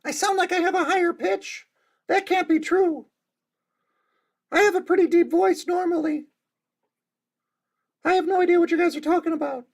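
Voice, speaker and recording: A man on a livestream whose voice is pitch-shifted into a squeaky helium-high register, feigning puzzlement about why he sounds that way. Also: steady tone, moderate pace, vocal fry, pauses often. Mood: mock puzzled. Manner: mock-innocent, insisting nothing is wrong. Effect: pitch-shifted voice changer.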